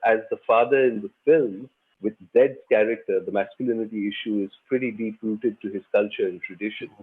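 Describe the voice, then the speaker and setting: A man who grew up on the subcontinent, an actor giving measured, thoughtful interview answers. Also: fairly low pitch, fairly even intonation, husky. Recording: tinny phone-like line.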